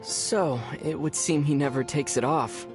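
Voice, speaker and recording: A woman playing a young male traveler hero in a video game, calm, dry and gently teasing. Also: low-pitched, unhurried, over loud music. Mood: dry, curious.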